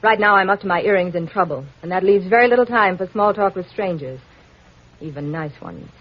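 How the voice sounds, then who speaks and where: An actress playing an evasive, sharp-tongued woman in trouble, with a brusque vintage radio noir delivery. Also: medium pitch, a little fast, natural voice. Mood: brusque, guarded. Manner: urgent, brusque wisecracks. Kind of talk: 1940s-50s radio noir acting. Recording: muffled vintage radio recording.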